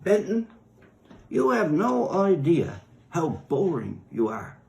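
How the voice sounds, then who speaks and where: Man voicing a blunt, self-absorbed God, high and animated. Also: slow, vocal fry, full character voice. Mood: scornful, exasperated. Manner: blunt, lecturing, dismissive. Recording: roomy echo.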